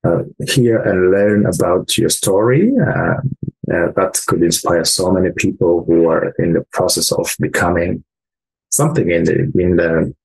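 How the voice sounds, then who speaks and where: A male interviewer with a very low, gravelly voice. He sounds somewhat husky as he asks his questions and stops often with fillers. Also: fairly even intonation, poor audio. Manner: halting, with many fillers. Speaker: interviewer host.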